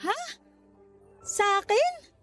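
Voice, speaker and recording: A young mother in a radio drama with a strikingly high voice. She is weary and curt, exasperated by her partner. Faint music runs underneath. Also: lively intonation, very slow, no room sound, exaggerated voice. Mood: confused, exasperated. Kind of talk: Tagalog radio drama acting. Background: music bed.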